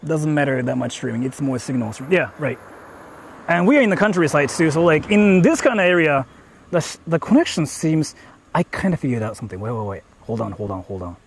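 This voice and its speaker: A man's voice: fairly high pitch, expressive, a little fast, creaky, a little hiss or hum. A man live-streaming outdoors from a phone, rambling with lots of fillers as he explains his tech setup to chat. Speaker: IRL streamer. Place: outdoors in the countryside, on the move. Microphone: phone held while streaming.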